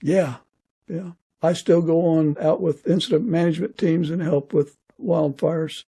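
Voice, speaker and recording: A man's voice: a little high, steady tone, unhurried, gravelly, warm tone, slightly rough. A middle-aged or older man with an American accent, hosting a casual podcast interview.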